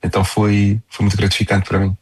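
A man's voice: on the low side, monotone, quick pace, a little mellow, breathy edge. A young man with a European Portuguese accent, chatting casually as a singer-songwriter in an interview.

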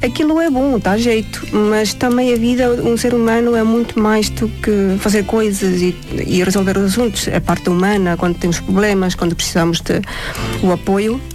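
A woman's voice: medium pitch, fairly even intonation, normal speed. Estonian woman, interview guest, non-native speaker, hesitant phrasing, music bed behind. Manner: searches for words, many short agreeing replies. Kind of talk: interview conversation.

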